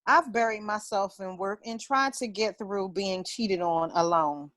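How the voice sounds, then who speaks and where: An actress at a table read playing a candid, sympathetic office colleague. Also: female voice, mid-range voice, measured pace, fairly bright tone, natural voice.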